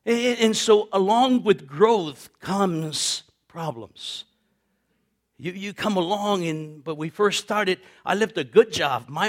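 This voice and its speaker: A man in his late fifties delivers a sermon, his voice sitting a little high for a man. He tells his own story in a lively way, repeating words, laughing and acting out the voices of family members and his pastor. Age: 50s. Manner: animated, repeats words, laughs often, acts out quoted voices.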